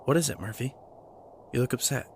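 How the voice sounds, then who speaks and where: An actor playing an earnest, bookish, kind young man in an audio drama, with a gentle, lightly teasing manner. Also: mid-range voice, brisk, slight background noise, natural voice. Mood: curious, concerned. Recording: music bed under the voice.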